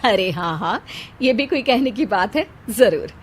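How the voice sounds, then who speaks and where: A woman's voice: mid-range voice, expressive, a little slow, breathy edge. A woman voicing a kind, forgiving elder sister in a cartoon moral story, speaking in an obliging way. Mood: kindly, obliging. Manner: kindly, obliging.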